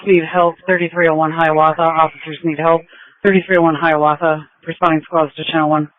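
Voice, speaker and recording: A man's voice: a little high, level tone, brisk, slight background noise. A man working as a police dispatcher, speaking in clipped, procedural phrases over police radio. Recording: phone-like narrow-band police scanner audio.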